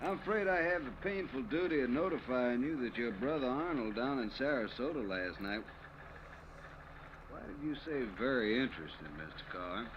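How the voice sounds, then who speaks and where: An actor playing a businesslike, skeptical police lieutenant in an old-time radio drama, with an official manner. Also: male voice, mid-range voice, animated, normal speed, lightly acted. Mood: formal. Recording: muffled, very noisy vintage radio recording.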